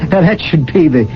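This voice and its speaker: An actor playing a smug, condescending rationalist from a regimented future in a radio drama, speaking in a glib, theatrical manner. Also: male voice, a little high. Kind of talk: 1980 radio drama acting. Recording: dull vintage radio, faint music.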